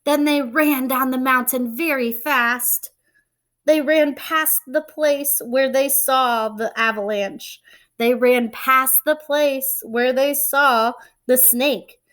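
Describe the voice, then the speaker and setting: A woman reading a children's picture book aloud, expressively, pausing to explain and put questions to young listeners. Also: high voice, fairly even intonation, fairly bright tone. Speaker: read-aloud storyteller and teacher-like reader.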